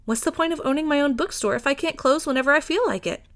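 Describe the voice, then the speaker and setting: A woman's voice: high-pitched, fast, fairly bright tone, pitched above the performer's own voice. A woman voicing a friendly, eager young female bookshop owner in an audiobook, gushing with enthusiasm. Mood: eager, effusive. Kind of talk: acted fiction reading.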